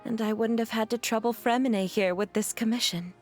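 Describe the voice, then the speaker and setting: A woman playing a gracious, genteel mother and employer in a video game, courteous, refined and gentle. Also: on the high side, steady tone, brisk, faint music behind, natural voice. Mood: kindly.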